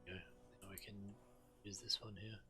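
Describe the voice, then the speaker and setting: A man's voice: lowish voice, level tone, moderate pace, pushed and bright. A man giving casual commentary while he plays a video game, with music behind him. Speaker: game streamer.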